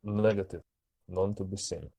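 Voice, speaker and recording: Brief: male tabletop player, very low mellow voice, terse laconic replies in a game session. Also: fairly even intonation, normal speed, vocal fry, husky, dry recording.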